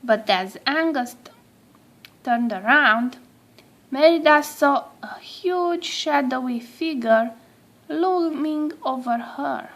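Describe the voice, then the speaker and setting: A woman with a high voice reads a children's storybook aloud. She takes her time over each phrase and tells it expressively, at a very slow pace. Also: fairly bright tone. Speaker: storybook reader.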